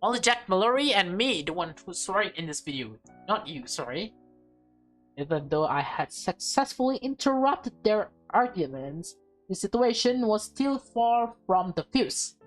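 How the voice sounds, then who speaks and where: A woman's voice: mid-range voice, normal speed, low-quality recording. A woman reading a visual novel aloud with playful, dramatic delivery and casual asides. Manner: expressive, playful, acts out dialogue. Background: music.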